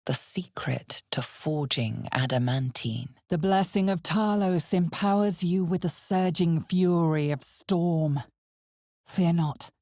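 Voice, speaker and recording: Casting: a knowing, ominous female narrator for a dark fantasy video game. Deep for a woman, gravelly, measured storyteller delivery. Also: dry recording, studio quality.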